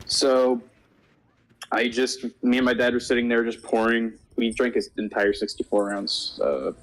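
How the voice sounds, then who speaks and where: A man's voice: medium pitch, fairly even intonation, measured pace. A young man in casual conversation as a co-host, telling stories with swearing and joking banter. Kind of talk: casual storytelling chat over a game.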